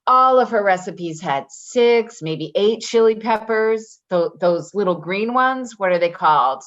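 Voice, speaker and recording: An actress playing a brash, chatty, defensive caregiver in a naturalistic play reading, rambling and halting as she goes. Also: medium pitch, animated, a bit bright, highs cut off. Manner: rambling, halting, with nervous laughter. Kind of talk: naturalistic readers theater acting.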